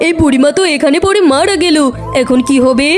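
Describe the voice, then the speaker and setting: A woman's voice: high voice, a little fast, soft background music, lightly acted. A woman playing a cruel, haughty tigress wife in a cartoon, scolding and threatening in an exclamatory way. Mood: alarmed, callous. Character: cruel, haughty tigress wife guarding her mango tree. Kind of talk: dramatic cartoon voice acting.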